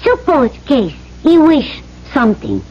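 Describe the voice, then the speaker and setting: Anxious, loyal island wife for old radio play; high voice, simple broken English, muffled recording. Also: female voice, huge pitch swings, exaggerated voice. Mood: grave, explaining. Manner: halting pidgin English in short simple phrases. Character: devoted, fearful young island wife. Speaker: actor in supporting part. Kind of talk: old-time radio drama acting.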